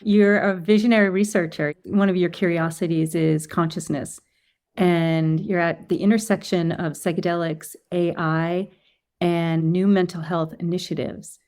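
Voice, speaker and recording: A woman's voice: on the low side, fairly even intonation, moderate pace, a little mellow. A woman hosting an interview podcast who greets her guest, asks questions and affirms his answers. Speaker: podcast host.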